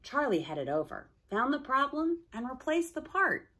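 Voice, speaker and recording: Female storybook reader, very animated pitch, slightly husky tone, dramatic emphasis. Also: normal speed. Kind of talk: expressive children's story narration.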